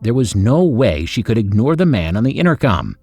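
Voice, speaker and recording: A man's voice: on the low side, very animated, brisk, dark tone, breathy edge, faint music behind. A man hosting a story channel, reading scripted narration in an upbeat, suspenseful storyteller manner.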